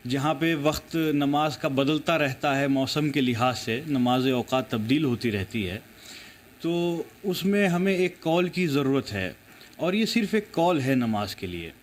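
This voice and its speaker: A man's voice: mid-range voice, animated, unhurried, a little hiss or hum. A man giving his opinion in a spoken statement for the news.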